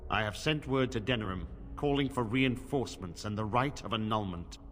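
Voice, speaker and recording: A man's voice: lowish voice, a bit bright, natural voice. Man acting a stern templar commander in an echoing hall. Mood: grave, stern. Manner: grave, formal, deliberate. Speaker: game character voice actor. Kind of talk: fantasy video game dialogue. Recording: reverberant stone hall, music underneath.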